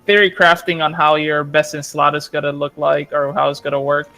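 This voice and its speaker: A man with a fairly high voice chats casually with gaming friends. He sounds informal and easygoing, with faint game music underneath. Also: flat delivery, a little fast, vocal fry, pushed and bright. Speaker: gamer friend in voice chat.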